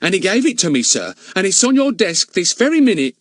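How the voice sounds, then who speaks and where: A man's voice: high-pitched, big pitch swings, a little fast, fairly bright tone, no echo, pitched above the performer's own voice, exaggerated voice. A man voicing a talkative, easily offended older female housekeeper who keeps calling her employer sir. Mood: chatty. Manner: gossipy, deferential, many a "sir". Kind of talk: audiobook character voice.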